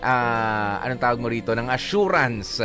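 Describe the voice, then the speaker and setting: A man's voice: medium pitch, expressive, normal speed, bright, forceful tone. A man with a Filipino accent hosting a radio talk show, commenting casually in Tagalog and English with hesitant, searching phrasing. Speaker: radio host discussing a listener's story. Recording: music bed behind the voice. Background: background music.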